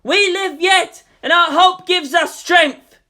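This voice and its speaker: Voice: very high-pitched, slow pace, sharp tone, voice pushed up, full character voice. A reader voicing an impassioned young man preaching to a crowd in a zealous, declamatory way, in a dramatic story reading. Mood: fierce, rousing. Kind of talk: dramatic fan fiction reading.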